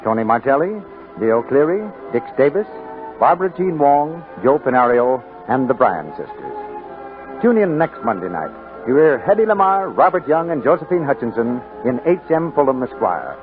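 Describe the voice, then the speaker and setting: A man's voice: medium pitch, big pitch swings, normal speed. A man reading formal announcements in a 1940s radio announcing style. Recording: tinny old radio broadcast with music.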